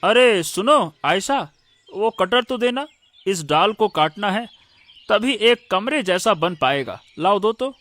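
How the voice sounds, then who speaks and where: A man voicing a determined, hardworking villager in a Hindi cartoon, speaking earnestly and deliberately. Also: high-pitched, lively intonation, fairly bright tone, no room sound, heavily acted. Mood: busy. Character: determined, hardworking male villager building a treetop eatery. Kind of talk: Hindi cartoon story dialogue.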